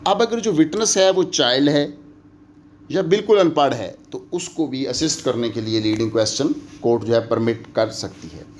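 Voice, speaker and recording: A man with a Pakistani accent giving an explanatory lecture in a didactic way, mixing in English phrases that he says more slowly. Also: mid-range voice, animated, a little hiss or hum. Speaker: law lecturer. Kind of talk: explanatory lecture, switching between Urdu and English.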